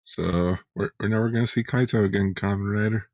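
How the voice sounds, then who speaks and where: A man's voice: lowish voice, fairly even intonation, brisk, a little mellow, no echo. A man co-hosting a podcast in casual chat, often repeating words as he gets going. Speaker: podcast co-host.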